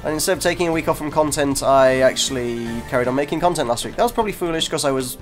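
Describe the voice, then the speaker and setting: A man's voice: fairly high pitch, very fast, soft background music. A congested man, hosting a gaming video with casual, self-deprecating chat that breaks off mid-sentence. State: congested from a cold. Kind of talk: casual gameplay commentary. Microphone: desk microphone.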